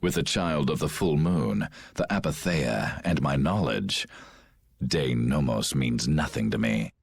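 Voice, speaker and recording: A deep, gloating villain. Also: male voice, measured pace, creaky, breathy edge, dry recording, exaggerated voice. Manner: cold, gloating, mocking laughter. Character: scheming ruthless male commander, middle-aged. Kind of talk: game cutscene voice acting. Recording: game audio with music behind.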